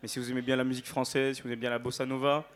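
A man's voice: medium pitch, level tone, racing. A male singer chatting casually as an interview guest on stage, informal and playful.

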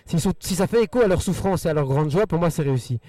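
A man with a Belgian French accent whose first language is Spanish, earnestly answering interview questions in quick rushes with repeated words. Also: on the high side, animated, warm tone, dry recording, recorded from a distance. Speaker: musician guest.

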